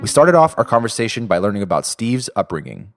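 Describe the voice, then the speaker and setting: A man's voice: on the low side, very animated, fast. An American man reading a scripted podcast introduction as narrator. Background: guitar music.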